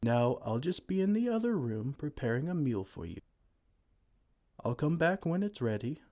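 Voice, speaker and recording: A man playing a soft-spoken gentle giant. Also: on the high side, sing-song, moderate pace. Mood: tender. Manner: soft-spoken, soothing, tender pet names. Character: kind gentle male giant caring for a tiny human. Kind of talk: ASMR roleplay.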